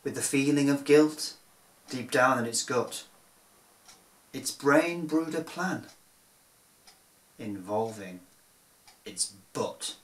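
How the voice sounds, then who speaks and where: A man reading a rhyming children's picture book aloud in a theatrical, deliberate manner. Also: medium pitch, reverberant. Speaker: children's storyteller.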